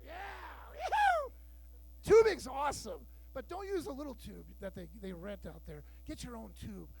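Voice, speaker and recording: A man in his fifties with a very high voice works through a crude stand-up set. He tells his stories loudly and acts out every exchange himself, salty language and all. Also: huge pitch swings, moderate pace, gravelly, no room sound. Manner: loud, bawdy storytelling with acted-out dialogue. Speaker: stand-up comedian. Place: live show room with audience.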